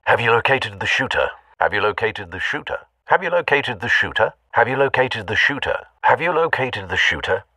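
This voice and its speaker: An actor playing a loyal older butler with a British accent in a video game, formal and dryly understated. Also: male voice, mid-range voice, very animated, a little fast, bright, forceful tone, no echo, recorded from a distance, exaggerated voice. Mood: dry, attentive. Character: refined, loyal, concerned older butler man.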